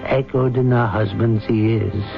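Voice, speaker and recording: An actor playing the narrator of a radio folk tale, telling it in a grave, measured, literary way. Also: male voice, on the low side, fairly even intonation, vocal fry, over loud music. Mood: solemn. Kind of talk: old-time radio drama narration. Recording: dull old radio broadcast.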